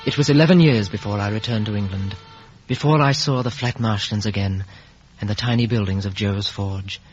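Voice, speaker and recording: An actor playing an earnest, remorseful gentleman telling his life story in a reflective, literary way in a 1950s radio drama. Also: lowish voice, music in the background, lightly acted. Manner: literary, reflective, halting with emotion. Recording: muffled vintage 1950s radio recording.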